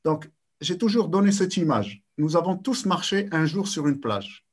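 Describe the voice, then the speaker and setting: An older man giving a lecture over a video call, measured and repeating words as he searches for phrasing. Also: a little high, lively intonation, breathy edge. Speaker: sports science speaker. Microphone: webcam on video call.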